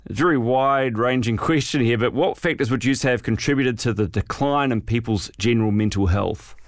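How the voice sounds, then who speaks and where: A man's voice: medium pitch, fairly quick, dry recording, clean studio sound. A man hosting a podcast interview, reading a scripted intro and then asking friendly questions. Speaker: podcast host.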